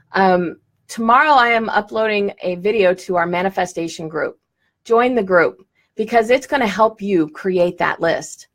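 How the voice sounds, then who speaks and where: Woman teacher, live stream, direct and enthusiastic, occasional laughs, webcam mic. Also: on the low side. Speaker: law of attraction teacher.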